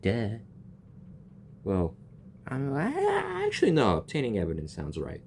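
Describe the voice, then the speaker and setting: A man's voice: mid-range voice, wildly swooping pitch, measured pace, creaky, darkish tone, a little hiss or hum. A man giving casual, jokey commentary while playing through a game story, talking back to the characters. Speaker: gamer reacting to a story quest.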